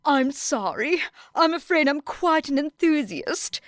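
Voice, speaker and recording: A woman's voice: high-pitched, animated, unhurried, a bit bright, dry recording, studio quality, voice pushed up. A woman voicing a smug teenage boy with pompous, ingratiating, falsely polite manners. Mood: oily politeness.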